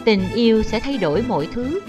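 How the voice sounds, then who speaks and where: A woman's voice: a little high, expressive, fairly quick. A woman reading a scripted narration as the narrator of a film. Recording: music behind the voice.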